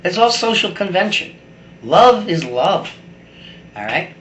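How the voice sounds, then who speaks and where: A man's voice: high voice, sing-song, slow pace, fairly bright tone. A middle-aged man giving a rambling, blunt and opinionated personal monologue, like a video blogger talking to his camera. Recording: echoey room with faint background noise.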